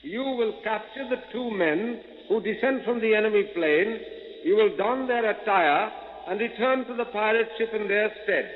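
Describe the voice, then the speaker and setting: An actor playing a grave, commanding old spymaster, issuing orders in formal, imperious, deliberate phrases in a 1940s radio adventure. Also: male voice, high-pitched, natural voice. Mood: stern, commanding. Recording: muffled old radio recording, cavernous reverb.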